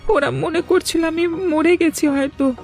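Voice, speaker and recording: A woman's voice: extremely high pitch, fairly even intonation, unhurried, music in the background. A woman voicing an earnest, plaintive young village boy in a cartoon drama. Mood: suffering, pleading. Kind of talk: cartoon voice acting.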